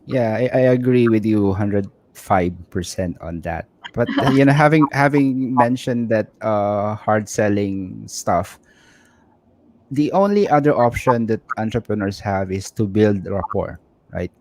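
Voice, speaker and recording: A man's voice: fairly low pitch, measured pace, a little mellow. A man hosting a livestream interview, talking casually with repeated words and fillers like "you know". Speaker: livestream host.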